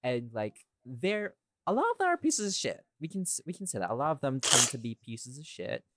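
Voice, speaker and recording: A man's voice: medium pitch, very animated, fairly quick, noisy background. A man in a casual podcast chat, talking in a rambling, irreverent way and swearing freely as he explains himself. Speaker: podcast host and voice actor.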